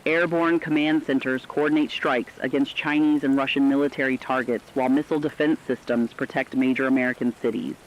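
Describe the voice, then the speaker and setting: A man's voice: medium pitch, fairly even intonation, brisk, hardly any pauses, slight background noise, natural voice. A man playing a resolute war correspondent, delivering scripted dramatic news in a stern, declamatory way. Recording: dull lo-fi radio sound.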